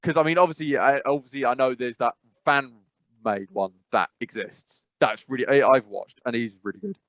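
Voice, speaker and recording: A man's voice: mid-range voice, moderate pace, fairly bright tone, no echo, highs cut off. A man co-hosting a podcast in casual chat, rambling and often correcting himself mid-sentence. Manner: rambling, self-correcting, frequent "I must be honest". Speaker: podcast co-host.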